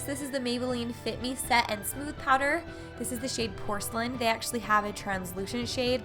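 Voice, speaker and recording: A young woman with a congested, stuffy voice from a cold, chatting upbeat through a makeup tutorial as a voice-over. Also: a little high. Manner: chatty, upbeat product walkthrough. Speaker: beauty vlogger. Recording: faint music underneath.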